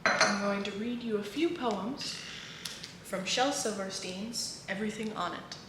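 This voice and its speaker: A woman reading children's picture books and poems aloud in an expressive, sing-song way. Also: medium pitch, a little slow. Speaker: children's book reader. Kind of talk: read-aloud storytelling for children. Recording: echoey room with clear background noise.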